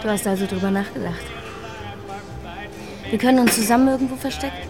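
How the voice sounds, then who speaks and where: Actress as a high-voiced, brash young drifter woman. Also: close to an everyday voice. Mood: wary, coaxing. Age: young adult. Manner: slangy, halting, casual. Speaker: actor. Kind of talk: naturalistic noir radio drama dialogue. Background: music behind the voice.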